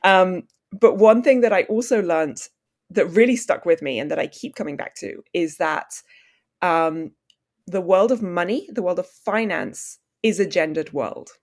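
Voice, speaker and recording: A woman's voice: fairly low pitch, lively intonation, a little slow. A woman in her thirties, raised in Switzerland, speaking as an author and journalist guest in a podcast interview, articulate and self-deprecating. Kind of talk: podcast interview, conversational storytelling.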